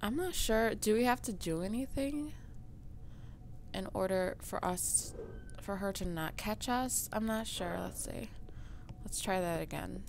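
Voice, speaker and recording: A woman commentating on her let's play, a little raspy from just waking up, playful and mock-outraged at the game. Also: mid-range voice, measured pace, slight background noise. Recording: game music loud under her voice.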